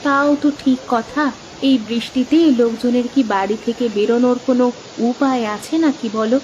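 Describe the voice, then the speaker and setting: A woman's voice: fairly high pitch, steady tone, moderate pace, darkish tone, lo-fi sound, slight background noise, lightly acted. A woman voicing a practical, reassuring village housewife in a colloquial cartoon folk tale. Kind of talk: acted cartoon dialogue.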